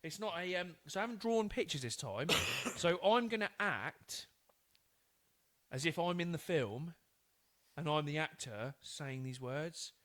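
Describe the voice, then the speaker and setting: A man's voice: on the high side, lively intonation, a little slow, fairly bright tone. A man hosting a casual comedy podcast, laughing often and stumbling over his words as he runs a film quiz. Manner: laughs a lot, stumbles, tries out film voices. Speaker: podcast co-host and quiz master.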